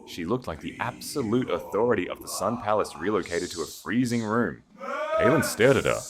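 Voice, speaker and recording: A man's voice: on the low side, animated, moderate pace. A man reading a scripted romance audiobook in a solemn, dramatic way. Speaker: narrator.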